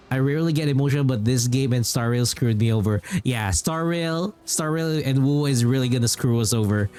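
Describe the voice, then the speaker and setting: A man's voice: medium pitch, a little mellow. A man, casually rambling as a game streamer and repeating phrases for emphasis. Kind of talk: casual livestream chat about games. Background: music.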